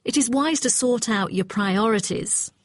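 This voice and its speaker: A woman's voice: on the high side, measured pace, no room sound, studio quality. A young woman playing a conscientious, assertive nursing student in a scripted exam dialogue.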